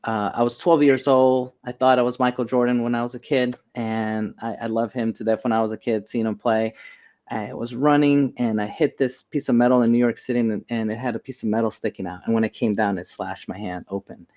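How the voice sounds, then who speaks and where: A man's voice: medium pitch, level tone, a little fast, darkish tone. An American man speaking earnestly and conversationally as an interview guest, on dull, lo-fi audio. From United States. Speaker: interview guest, healthcare AI expert and doctor. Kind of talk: conversational interview, advice and personal stories.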